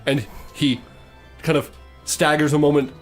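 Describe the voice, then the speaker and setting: A man's voice: on the high side, little pitch movement, measured pace. Man narrating a tabletop game, music behind. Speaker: game master.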